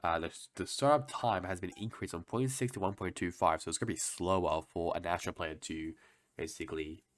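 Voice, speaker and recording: A man's voice: sits low, fairly quick. A young man who is a bit sick, reading through game patch notes in a casual, hesitant way, often restarting and guessing. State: sick, voice lower than usual. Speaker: gaming video host.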